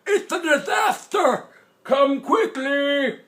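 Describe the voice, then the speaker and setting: A man voicing a storybook grandfather, old, toothless and indignant, with a lisp and drawn-out, loud exclamations. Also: extremely high pitch, expressive, voice pushed up, heavily acted. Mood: panicked. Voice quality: lisping, toothless speech.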